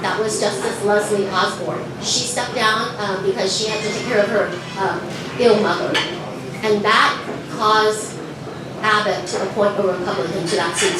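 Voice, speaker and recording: Woman, from Vietnam, earnest stump speech, many restarts; distant mic, very echoey, noisy room. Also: mid-range voice. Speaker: judicial candidate. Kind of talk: campaign speech at a breakfast meeting.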